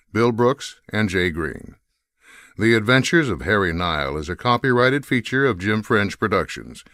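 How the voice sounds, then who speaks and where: A man announces a radio drama, reading scripted credits. His voice is lowish yet bright and forceful, recorded very dry. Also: no room sound.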